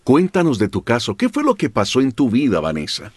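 A man's voice: mid-range voice, huge pitch swings, brisk, husky, no echo, clean studio sound. A man hosting a faith testimony program, greeting his audience and interviewing a guest in a formal broadcast manner.

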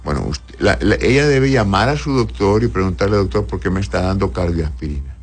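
Deep-voiced male doctor, patient and explanatory. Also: lively intonation, fairly quick. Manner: patient, explanatory, often adds a questioning "right?"; calls patients "my love". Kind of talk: reading out and answering health questions.

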